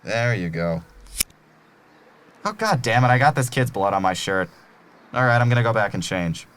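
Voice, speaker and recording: Man acting a low-voiced sadistic gang leader. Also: very animated, moderate pace, fairly bright tone, clean tone. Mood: cold, mocking. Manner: taunting, folksy, falsely courteous threats. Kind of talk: audio drama acting.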